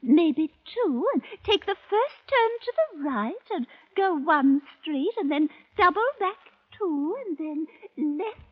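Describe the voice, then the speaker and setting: Apologetic, fluttery passerby, extremely high pitch, stammering, thin phone-like vintage radio sound. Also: female voice, lively intonation, slow pace, hardly any pauses. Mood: flustered, apologetic. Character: apologetic, flustered London passerby, anxious to help. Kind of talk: 1940s radio drama acting. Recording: tinny old radio recording.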